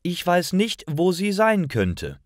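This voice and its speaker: A man's voice: high voice, wildly swooping pitch, slow, dry recording, studio quality, voice pushed up, full character voice. A man voicing a worried, nervous mother in a storybook, anxious, emphatic and carefully articulated.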